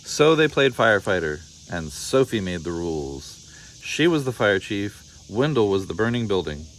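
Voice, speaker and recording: A man narrating a children's picture book in an expressive read-aloud style. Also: lowish voice, moderate pace, a little hiss or hum. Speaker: storybook reader.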